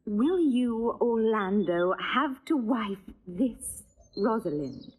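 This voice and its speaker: A woman acting a young woman who dryly chides her cousin in a stage drama, with a breathy voice. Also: a little high, lively intonation, takes it slowly, full character voice. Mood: chiding. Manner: dry, chiding.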